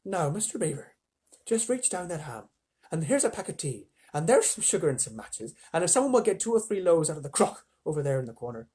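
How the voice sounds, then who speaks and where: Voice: mid-range voice, sing-song, dark tone, breathy edge. A fussy, motherly beaver wife, read aloud by a parent. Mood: busy. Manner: brisk, cool and motherly.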